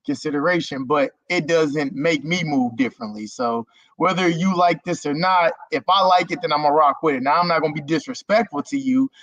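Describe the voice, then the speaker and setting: A man's voice: a little high, lively intonation, a little fast, fairly bright tone, dry recording. A man, a content creator guest, talking casually about himself in an easygoing, rambling way over a video call. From Germany. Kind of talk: casual interview talk. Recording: dull lo-fi stream audio.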